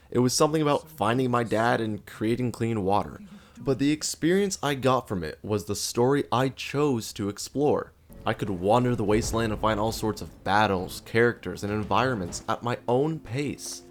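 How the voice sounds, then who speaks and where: A man's voice: medium pitch, animated, normal speed. A man narrating a video essay in a casual, conversational way, sarcastically asking questions and answering them himself.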